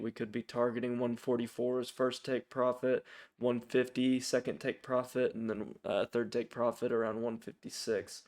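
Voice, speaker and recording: A man with a mid-pitched voice gives an off-the-cuff stock trading commentary. He sounds sick and raspy from a throat infection. His intonation stays very flat, and he talks informally and hesitantly, working out his thoughts as he goes. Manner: informal, hesitant, thinking aloud. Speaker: stock trading channel host. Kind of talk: casual, unscripted stock watchlist commentary.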